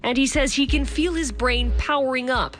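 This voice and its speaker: A woman narrating a news feature in a measured, scripted reporting style. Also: high voice, a bit bright. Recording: voice-over with music underneath.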